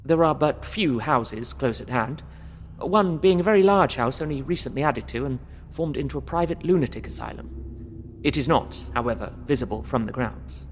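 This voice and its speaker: An actor playing an earnest, polite young English solicitor, narrating his journal in measured, bookish Victorian phrasing for an audio drama. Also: mid-range voice, lively intonation, slight background noise, lightly acted. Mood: observant, measured.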